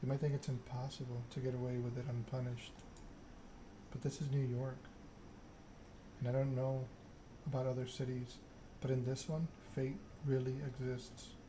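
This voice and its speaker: A man plays a proud, scheming game vampire, delivering a self-important monologue in ASMR style. The pitch is middling and very level, and clear noise sits behind the voice. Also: little pitch movement, moderate pace, close to an everyday voice. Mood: smooth, persuasive. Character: proud, scheming vampire aristocrat telling their story. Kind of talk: ASMR read-aloud of game dialogue.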